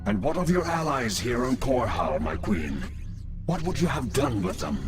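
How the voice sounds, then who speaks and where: An actor playing a deferential, calculating lieutenant to an evil queen in a video game, measured and insinuating. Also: medium pitch, big pitch swings, music in the background, natural voice. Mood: scheming. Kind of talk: dramatic video game voice acting.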